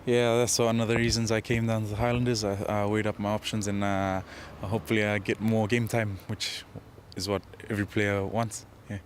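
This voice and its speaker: A man in his twenties with a Fijian accent answers interview questions as a rugby player, in a casual, modest and halting way. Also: lowish voice, little pitch movement, a little hiss or hum. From Fiji. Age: 20s. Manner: hesitant, modest, halting delivery.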